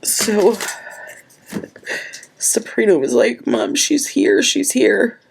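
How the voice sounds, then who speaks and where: Husky, tearful woman, halting speech. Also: on the high side, big pitch swings, poor audio. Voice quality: husky, gravelly. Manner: halting, trailing off, repeating words. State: crying, sniffling with a cold. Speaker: planner vlogger. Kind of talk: tearful personal storytelling to camera.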